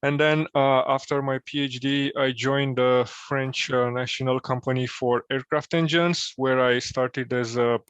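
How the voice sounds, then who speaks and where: A man's voice: medium pitch, steady tone, fairly bright tone, clear, resonant tone, no room sound. Man, dull dry call audio. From Romania. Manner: thoughtful, many filler pauses. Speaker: interview guest. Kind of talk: casual career interview.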